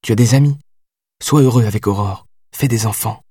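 A man's voice: mid-range voice, brisk, slightly rough, no room sound, studio quality, lightly acted. A man reading an audiobook voices a passionate, heartbroken young woman who pleads and cries out. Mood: tender. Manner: pleading, then shouting through tears. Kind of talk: audiobook character voice.

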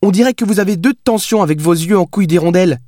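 A man's voice: high voice, quick pace, mellow, no echo, clean studio sound, pitched above the performer's own voice. A man voicing a brash, sassy, foul-mouthed young woman in an audiobook, with a cheeky, insistent way of talking. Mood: cheeky, hailing. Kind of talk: audiobook character dialogue.

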